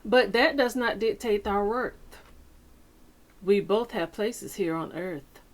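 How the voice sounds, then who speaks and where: A woman reading a picture book aloud to children like a teacher, with deliberate, dramatic phrasing and pauses. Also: medium pitch. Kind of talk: expressive children's read-aloud.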